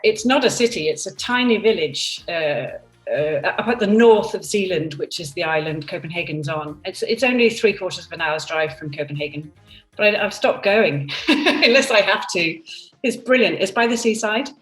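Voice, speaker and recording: A woman in her fifties talks in a reflective, candid way, often restarting her sentences. Her voice sits on the low side. She sounds distant from the computer mic in a roomy space, with faint music playing underneath. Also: expressive, normal speed. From Denmark and England. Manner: thoughtful, candid, with frequent restarts. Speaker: product designer interviewed. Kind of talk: reflective conversational interview. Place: home by the seaside. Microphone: computer on a video call.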